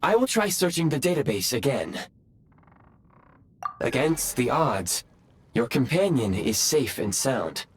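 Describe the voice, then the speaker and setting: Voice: mid-range voice, big pitch swings, unhurried, pauses often, a little hiss or hum. An actor voicing a polite, analytical talking machine in a video game, formal, clipped and precise. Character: self-aware ancient talking goggles, polite analytical machine. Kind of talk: robotic video game character acting.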